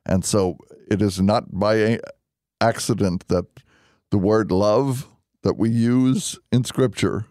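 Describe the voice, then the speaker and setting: A man speaking as a deacon and radio host, conversational and earnest, explaining things deliberately. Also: on the low side, steady tone, darkish tone, no room sound, clean studio sound. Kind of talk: conversational religious radio hosting.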